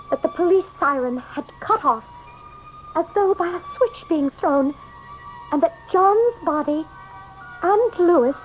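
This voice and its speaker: High-voiced, haughty desperate wife, old radio. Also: female voice. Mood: dazed recounting. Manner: dramatic, scornful, intense. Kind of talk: radio mystery drama acting. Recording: dull old radio broadcast.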